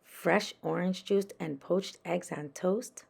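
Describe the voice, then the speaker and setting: Woman as a pleasant, slow-talking storybook father. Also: lowish voice, vocal fry, darkish tone, slightly rough, heavily acted. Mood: pleased.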